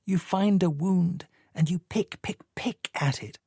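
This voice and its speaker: A man's voice: high voice, unhurried, mellow, breathy edge, no room sound, pitched above the performer's own voice, heavily acted. A man voicing a cold, evasive woman in a dry audiobook reading, her lines cool and dismissive. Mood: cool, cutting.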